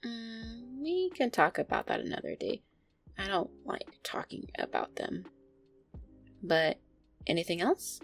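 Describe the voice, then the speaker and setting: A woman's voice: on the high side, very animated, measured pace, vocal fry, faint music behind, heavily acted. A woman playing an affectionate, easily flustered, centuries-old vampire girlfriend, talking intimately and hesitantly to her listener in an audio roleplay. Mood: wistful, reluctant.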